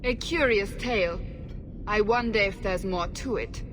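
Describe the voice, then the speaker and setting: An actress playing a stern, sceptical female interrogator in a fantasy game, measured and pointed in manner. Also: mid-range voice, music in the background, close to an everyday voice. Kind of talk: fantasy game cutscene acting. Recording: noisy background ambience.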